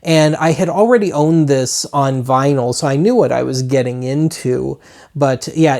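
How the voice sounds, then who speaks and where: A man's voice: a little high, darkish tone. A man hosting a retro media web show, talking casually in a chatty, rambling way with wry asides.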